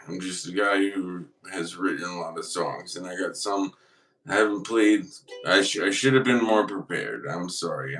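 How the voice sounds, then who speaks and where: A man's voice: low-pitched, a bit bright. A man chatting casually as a guest songwriter, hesitant and self-deprecating in the way he talks. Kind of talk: casual chat. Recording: distant from the mic.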